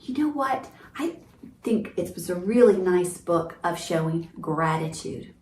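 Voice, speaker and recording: A woman's voice: lowish voice, animated, unhurried, darkish tone. A woman hosting storytime for young children, speaking in a simple, encouraging, teacherly way as she reads and explains. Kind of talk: picture-book reading and explaining to young children. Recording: roomy, distant mic, faint noise.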